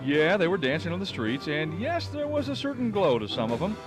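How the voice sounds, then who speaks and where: A man's voice: fairly high pitch, big pitch swings, fairly quick, over music. A man narrating a light news feature in a playful delivery. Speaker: news reporter.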